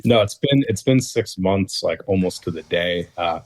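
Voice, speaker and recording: A man's voice: sits low, normal speed, a little mellow, no echo. A thirty-year-old American man answering as a guest in a casual interview, choosing his words with some hesitation. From northeastern United States. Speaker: interview guest, former reality dating show cast member.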